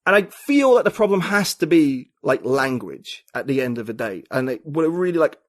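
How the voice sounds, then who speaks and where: A man's voice: fairly high pitch, very animated, normal speed. A man talking as a podcast guest, thinking aloud in a rambling, reflective way with many fillers and restarts. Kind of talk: casual conversation.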